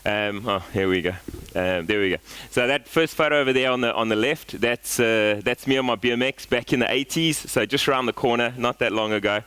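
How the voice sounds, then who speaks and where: A man's voice: medium pitch, brisk, few pauses, fairly bright tone, clean tone. South African man telling his story. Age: 40s. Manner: casual storytelling with frequent fillers and restarts. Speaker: guest speaker. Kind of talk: conference talk.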